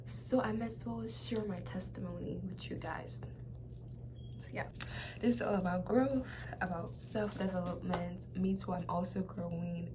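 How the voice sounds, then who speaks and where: A young woman with a Nigerian accent shares a personal testimony in a casual, halting way, often repeating phrases for emphasis. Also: fairly low pitch, fairly even intonation, background noise. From Nigeria (Lagos). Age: young adult. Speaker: vlogger.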